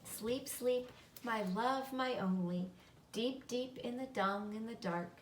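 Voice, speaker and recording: A woman voicing a calm, motherly female spider storyteller who speaks in a measured, encouraging way. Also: on the high side, slight background noise. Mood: gentle lullaby. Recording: roomy, echoing, distant microphone.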